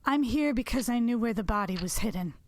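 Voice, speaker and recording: A woman's voice: on the high side, animated, brisk, noisy background. A woman acting in an audio drama as a sarcastic woman held for psychiatric evaluation. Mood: defiant, weary. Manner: dry, defiant sarcasm.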